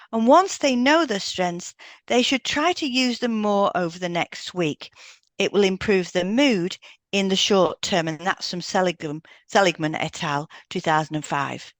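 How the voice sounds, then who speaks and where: A woman's voice: mid-range voice, no room sound. A woman co-hosting a podcast, reading out step-by-step instructions from a script with brief asides. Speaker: podcast co-host, hypnotherapist.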